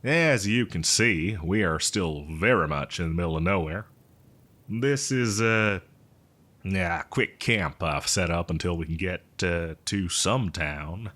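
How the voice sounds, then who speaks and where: A man's voice: deep voice, pushed and bright, exaggerated voice. A man playing an eccentric, cheerful botanist who survived from before the apocalypse, rambling hesitantly in a folksy roleplay monologue. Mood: wry, hesitant. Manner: hesitant, rambling, folksy, with chuckles and drawn-out words. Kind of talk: roleplay audio drama monologue addressed to listener.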